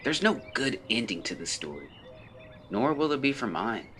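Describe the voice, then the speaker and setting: An actor plays a brooding man from the 1920s, consumed by guilt, delivering a formal written confession. His voice is forceful and edged, swinging widely in pitch while staying solemn and deliberate. Birdsong and outdoor ambience sit behind him in this audio drama. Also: big pitch swings, slight background noise. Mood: grave, bitter.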